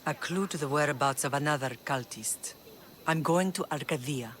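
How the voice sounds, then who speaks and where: A woman's voice: deep voice, normal speed, a bit bright. A woman acting a proud, determined Spartan mother in a video game, middle-aged, grave and composed. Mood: watchful.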